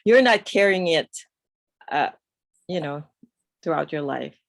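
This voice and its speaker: Woman, low pitch, dry sound, reflective, searching for words, video call guest. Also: animated, measured pace, creaky, dry recording. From New York. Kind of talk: casual conversation over a video call.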